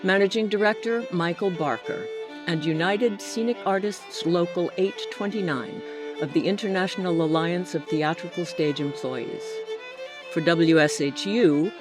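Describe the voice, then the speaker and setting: An announcer giving a scripted radio credits reading in a formal, orderly manner. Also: mid-range voice. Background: jingling holiday music.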